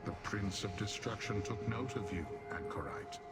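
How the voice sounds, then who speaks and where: An actor playing an honorable warrior in a fantasy video game, speaking in a formal, measured way. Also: male voice, lowish voice, natural voice. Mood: reassuring. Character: honorable male warrior ally, formal, steady and reassuring. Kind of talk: video game character acting. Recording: music behind.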